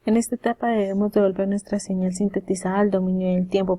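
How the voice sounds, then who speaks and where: A woman's voice: medium pitch, dark tone, dry recording. A woman presenting a scripted technical lesson in a formal, methodical way, reading it out step by step. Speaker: presenter explaining signal processing.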